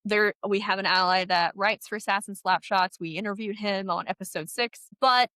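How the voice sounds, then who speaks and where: A woman co-hosting a casual podcast chat, chatty and animated, sounding stuffy from a head cold. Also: medium pitch, moderate pace, smooth, no room sound. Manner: chatty, animated, laughs often. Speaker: podcast co-host.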